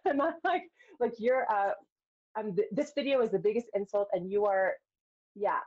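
A woman's voice: fairly high pitch, sing-song, a little slow, a little mellow, breathy edge. A middle-aged woman telling personal stories candidly in a podcast conversation, acting out quotes of herself and others as she goes. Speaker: podcast guest, filmmaker and speaker. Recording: dull, lo-fi sound.

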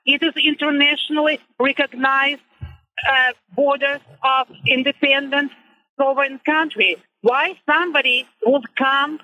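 A woman's voice: high-pitched, steady tone. Dull-line female caller with Ukrainian accent. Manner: halting, emphatic, with non-native grammar. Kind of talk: impassioned argument on a call-in.

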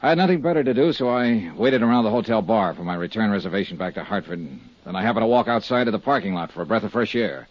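An actor as a low, husky radio private eye. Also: male voice, quick pace, few pauses, no room sound, close to an everyday voice. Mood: matter-of-fact. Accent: American. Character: world-weary American insurance investigator, male, conscientious and candid. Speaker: lead actor and narrator of a detective serial. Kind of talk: 1950s radio detective drama acting. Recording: muffled, dry 1950s radio recording.

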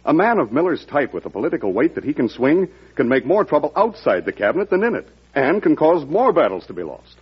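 An actor playing a genial, cautious senator in a classic radio drama, speaking in a tactful, hesitant and deferential way. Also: male voice, fairly high pitch, big pitch swings, fast, hardly any pauses, husky, full character voice. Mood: cautious, persuasive. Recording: muffled old radio recording.

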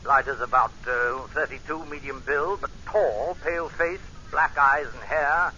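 An actor playing a bluff, apologetic British army general in a radio drama, with a clipped, hesitant British way of speaking. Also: male voice, on the high side, a little slow, bright, forceful tone, lo-fi sound. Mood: bluff, concerned. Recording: band-limited, noisy old radio broadcast.

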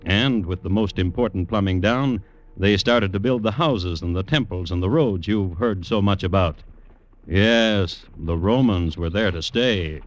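A man hosting and narrating a scripted 1950s radio history drama in a measured, dryly lecturing manner. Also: deep voice, highs cut off. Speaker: narrator and host.

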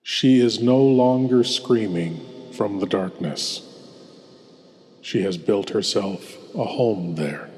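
A man performing a sinister, courtly horror host, narrating in a solemn, measured, ominous way. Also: fairly low pitch, darkish tone. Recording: long cavernous reverb, faint music behind.

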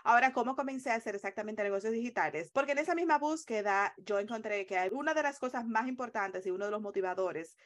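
A woman's voice: a little high, fast talker, few pauses. A woman with a Dominican accent speaking as a coach and interview guest, explaining things in an earnest, emphatic, conversational way. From Dominican Republic.

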